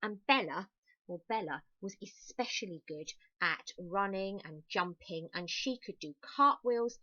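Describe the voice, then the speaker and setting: A slightly dull, dry home recording of a woman reading a picture book to children. Her voice sits at a middling pitch, and she reads expressively, emphasizing words and adding soft, confiding asides to the listeners. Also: animated, measured pace, no echo. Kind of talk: read-aloud children's story with chatty asides.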